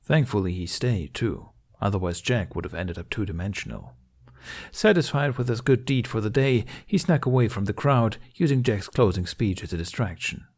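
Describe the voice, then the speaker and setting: A man reading scripted fiction aloud as an audiobook narrator, in a measured storytelling manner. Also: fairly low pitch, lively intonation, darkish tone, slightly rough.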